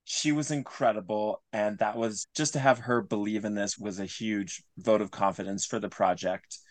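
A man's voice: lowish voice, steady tone, moderate pace, dry recording. A man speaking as an interview guest, explaining things earnestly in a conversational way and often restating his phrases. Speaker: interview guest, audio drama creator.

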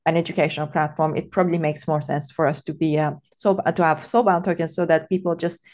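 Low-voiced woman from Iran, hesitant. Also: little pitch movement, fast, a little mellow. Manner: thoughtful, hesitant, many fillers and restarts. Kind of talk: casual podcast interview.

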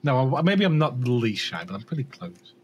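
Man, light Scouse accent, podcast guest, casual chat, lively pitch, faint noise. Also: brisk. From England.

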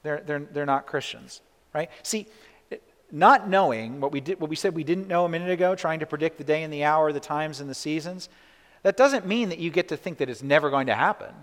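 Male pastor, slightly high voice, casual conversational sermon with lots of restarts, long hall reverb. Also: brisk. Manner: conversational, frequent "right?" asides and restarts.

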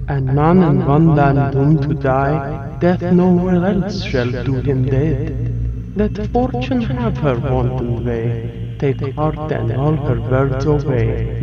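Man as a slow, solemn reciting poet. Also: fairly high pitch, muffled recording. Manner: slow, measured, declamatory. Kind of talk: audio drama verse recitation.

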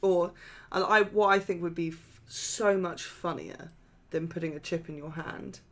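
A woman co-hosting a podcast from her flat, rambling through funny stories and laughing often. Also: lowish voice, unhurried. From England. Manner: giggly, rambling storyteller. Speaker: podcast co-host. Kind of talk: casual chat. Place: home flat.

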